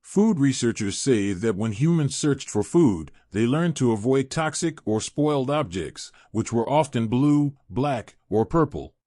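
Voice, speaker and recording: Mellow-voiced man reading aloud, very dry. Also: mid-range voice, expressive, a little slow, hardly any pauses, no room sound. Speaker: exam practice reader. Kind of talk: reading a practice passage aloud.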